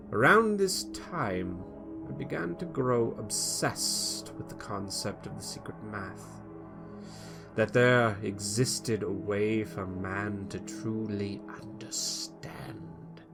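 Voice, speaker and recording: A man with a very deep, gravelly voice reads his tabletop character's history in the first person. He takes his time, leaning theatrically into each phrase. Music plays clearly beneath him. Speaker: player reading his character's backstory. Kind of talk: dramatic first-person reading. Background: background music.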